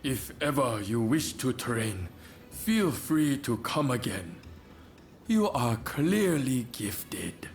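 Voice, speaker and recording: A man's voice: medium pitch, big pitch swings, slow pace, soft background music. An actor playing a humble, courteous male sword-school instructor in a video game, speaking politely and deferentially. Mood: grateful, modest. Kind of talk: video game cutscene acting.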